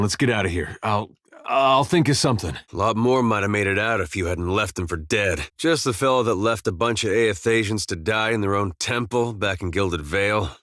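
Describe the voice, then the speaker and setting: A low male voice, recorded close and dry, an actor in character as a video game farmer turned adventurer. He is easygoing and plain-spoken, with folksy phrasing. His humor is wry and understated. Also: no room sound, close to an everyday voice. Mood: earnest, hesitant, then reproachful. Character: laid-back, wry farmer turned adventurer, good-natured young-to-middle-aged man. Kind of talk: scripted video game dialogue.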